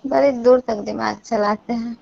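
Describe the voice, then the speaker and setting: Gravelly Bihari-accented woman, lo-fi call. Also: fairly high pitch, steady tone, moderate pace, darkish tone. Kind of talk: casual phone chat. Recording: dull lo-fi call recording, clear noise.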